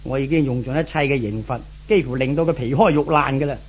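A man's voice: medium pitch, fast talker, slightly rough, lightly acted. A man acting in a 1970s Hong Kong Cantonese radio drama as a cruel, menacing brothel boss, with a sneering, theatrical delivery full of grunts and questioning barks. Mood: angry scheming.

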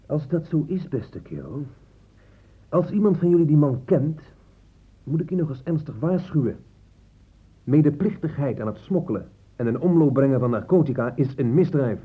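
Husky, blustering police inspector. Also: male voice, a little high, animated, moderate pace. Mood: stern warning. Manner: blustering, threatening, condescending. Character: stern, impatient male police inspector, easily angered. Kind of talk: radio play acting in Dutch. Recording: muffled, phone-like old radio recording.

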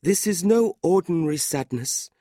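A man's voice: expressive, normal speed, a little mellow, dry recording, clean studio sound. A man voicing a sorrowful, complaining middle-aged widow, a genteel mother, in a plaintive storybook reading. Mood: tearful. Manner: plaintive, lifted high above narrator's voice.

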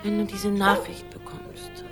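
A woman's voice: very high-pitched, lively intonation, a little slow, over loud music. An actress playing a weary, wry girlfriend leaving a phone message in a radio play. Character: weary, wry girlfriend in long-distance romance.

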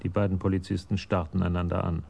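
An actor as the sober, detached storyteller narrating a scripted radio crime drama. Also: male voice, low-pitched, flat delivery, fast, vocal fry, darkish tone, slight background noise.

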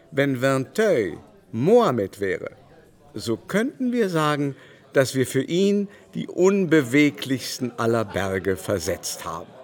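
A haughty baron for a radio play, grandiloquent and condescending. His mannered speech gushes with theatrical flourish over faint music. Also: medium pitch. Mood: grandiose. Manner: grandiloquent, mannered, swooping exclamations. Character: pompous, theatrical aristocratic baron, condescending and effusive. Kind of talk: radio drama acting.

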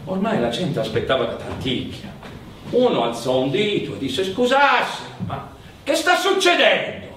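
A man's voice: high-pitched, sing-song, unhurried. A man on stage playing an eager Sicilian conspirator and storyteller, with a Sicilian accent. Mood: amused storytelling. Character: eager conspirator preparing a popular coronation. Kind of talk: theatrical narration in Sicilian-flavoured Italian. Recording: very echoey hall, distant mic, faint noise.

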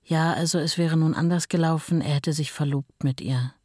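A woman's voice: low voice, fairly even intonation, normal speed, a little mellow, dry recording, studio quality. An actress playing a sorrowful, plainspoken ex-wife reminiscing about her marriage in a documentary-style radio play. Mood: matter-of-fact recollection.

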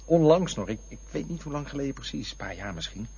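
An actor playing a defensive, wistful man in a Dutch radio play, touchy and drifting into lyrical memories, with a husky voice. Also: medium pitch, sing-song, fast talker, warm tone, exaggerated voice. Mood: wistful reminiscing. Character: defensive, wistful man looking back on lost loves. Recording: dull, lo-fi radio play recording.

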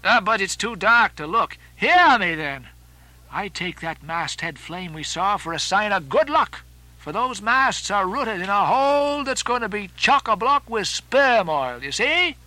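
A man voicing a hearty, blustering sailor in an audiobook, loud and emphatic. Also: sits high, sing-song, a little slow, no echo, pitched above the performer's own voice, full character voice, low-quality recording. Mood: stubborn optimism. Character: hearty, blustering, superstitiously cheerful sailor, second mate. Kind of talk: audiobook character acting.

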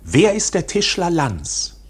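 An actor playing a tense, stubborn young nephew in a radio drama, controlled, terse and brooding. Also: male voice, high-pitched, wildly swooping pitch, slow pace, breathy edge, full character voice. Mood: questioning. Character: tense, stubborn bank clerk nephew under accusation.

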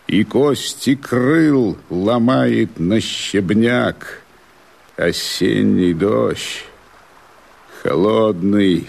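A brooding rebel on night watch in a radio play. The voice is low and husky with gravel in it. He declaims verse very slowly, swinging widely between murmured lows and strained swells. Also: darkish tone, exaggerated voice. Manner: slow, declamatory, with dramatic swells and drops. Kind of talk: theatrical radio drama verse monologue.

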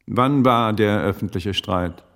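An actor playing a shrewd, probing magistrate's investigator in a German radio play, with formal, old-fashioned questioning. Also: male voice, on the low side, slow, a bit bright. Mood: probing, composed. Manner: formal, archaic, questioning address.

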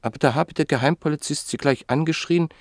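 A man narrating a literary radio drama in a measured storytelling manner. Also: fairly high pitch, no echo, clean studio sound. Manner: measured storytelling, reporting speech indirectly.

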